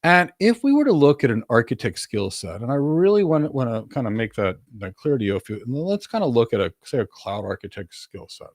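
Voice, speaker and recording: A man's voice: medium pitch, big pitch swings, a little fast, few pauses, no echo, clean studio sound. A man coaching in an informal Q&A, explaining in an assertive, emphatic way and repeating key words for stress. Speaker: career coach and host answering audience questions.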